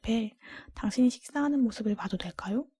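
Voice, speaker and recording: A sad, gentle storybook beast whispered by a woman speaks in formal, old-fashioned phrases. The voice is very breathy and mellow, humble and courteous. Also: a little high, brisk, no echo. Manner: formal, old-fashioned, self-deprecating speech.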